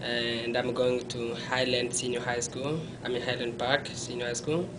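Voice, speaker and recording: A young man recounts his past in a small indoor room, recorded from a camera a few feet away. His speech is halting, full of restarts and self-corrections, and his pitch stays level. A steady rumble runs under the recording. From Congo. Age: young adult. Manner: halting, self-correcting, non-native phrasing; gestures with his hands while talking. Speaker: interviewee. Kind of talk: personal story in an interview.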